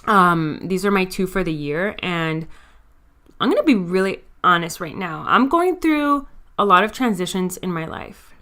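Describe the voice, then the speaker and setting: A young woman in her twenties hosts her own podcast, talking to listeners in a candid, confiding way. Her voice is bright and forceful, smooth and crisp, with a mild stutter now and then. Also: fairly low pitch, expressive, normal speed. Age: 20s. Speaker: podcast host. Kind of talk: casual personal monologue.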